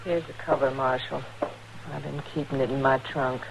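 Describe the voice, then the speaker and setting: An actress playing a meek, kindly, worn frontier wife with creaky, plain rustic speech in a 1950s western radio drama. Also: female voice, a bass voice, fairly even intonation, normal speed. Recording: noisy old radio recording.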